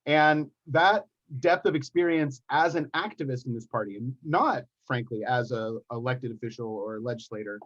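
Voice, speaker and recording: A man's voice: medium pitch, moderate pace, few pauses, a bit bright, lo-fi sound. A man speaking as a party officer candidate at a forum, earnest and candid, with hesitant restarts.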